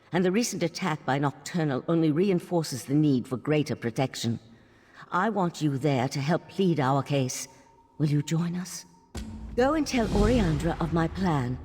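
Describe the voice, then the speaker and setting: Husky, earnest elven leader. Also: female voice, low-pitched, darkish tone. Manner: formal, earnest, persuasive. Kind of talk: scripted fantasy game dialogue. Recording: long hall-like reverb.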